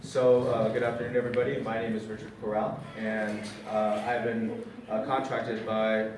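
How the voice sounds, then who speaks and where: A man facilitating a city council meeting, talking haltingly with many fillers. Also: fairly low pitch, level tone, noisy background. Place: large council chamber. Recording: distant, very echoey hall with crowd.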